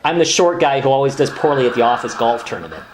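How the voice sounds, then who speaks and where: Earnest, put-upon male junior lawyer man acting, brisk and animated, deferential straight man in a radio sitcom. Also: medium pitch, a little hiss or hum, lightly acted, poor audio. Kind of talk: sitcom-style radio play dialogue.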